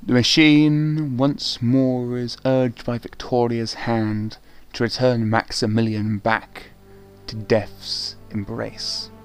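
A man narrating a gothic radio play in a deliberate, theatrical scripted style. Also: mid-range voice, flat delivery, clean tone. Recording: faint music behind narration.

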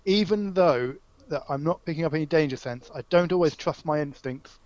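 Slightly high-voiced man playing a tabletop game. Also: fairly quick, a little mellow, muffled recording. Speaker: player.

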